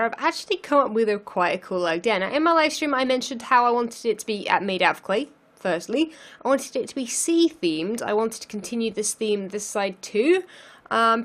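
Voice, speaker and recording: A young woman hosting a game let's play, chatting and thinking aloud as she builds, her voice a little croaky from losing it. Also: a little high. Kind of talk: casual gameplay commentary.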